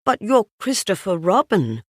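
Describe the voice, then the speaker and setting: A woman voicing a gentle, musing, simple-hearted male toy bear in a children's storybook, speaking plainly. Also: medium pitch, very animated, a little slow, no room sound, studio quality. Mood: mildly troubled. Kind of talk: children's storybook read-aloud.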